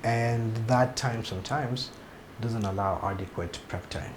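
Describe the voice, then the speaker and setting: A man's voice: medium pitch, measured pace, noisy background. Blunt, frank man in an echoey room. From Kenya. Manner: frank, emphatic, blunt opinions. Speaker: TV series director. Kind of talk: sit-down interview.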